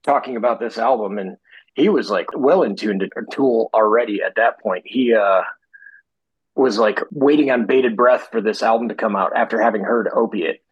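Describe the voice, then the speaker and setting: Husky middle-aged American man chatting. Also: lowish voice, moderate pace, no room sound, muffled recording. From Michigan, United States. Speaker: podcast guest. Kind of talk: casual chat.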